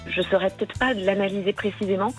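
A Parisian woman speaks as a radio guest, easygoing and thoughtful, with light laughs between her sentences. Her voice sits at an average pitch. Soft music plays faintly behind her. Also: fast. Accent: Parisian French. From France. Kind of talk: radio interview.

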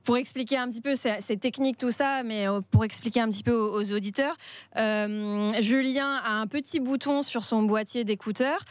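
A woman's voice: a little high, steady tone, normal speed, dry recording. A woman hosting a casual behind-the-scenes podcast chat. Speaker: podcast host.

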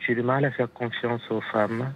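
A man in his forties from Asia, for whom French is not his first language, calling a late-night radio show, hesitant and halting with nervous laughs. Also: mid-range voice, little pitch movement, a little hiss or hum. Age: 40s. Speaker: caller. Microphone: telephone. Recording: dull lo-fi phone line into radio studio.

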